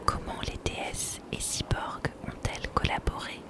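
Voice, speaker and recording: A woman reading out questions in a breathy whisper. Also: normal speed.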